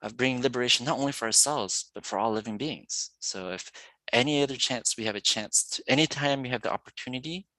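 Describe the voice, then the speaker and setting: A man giving an earnest, measured reflection as a graduating student, thanking his listeners. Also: mid-range voice, sharp tone, dry recording, poor audio. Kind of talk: reflective speech.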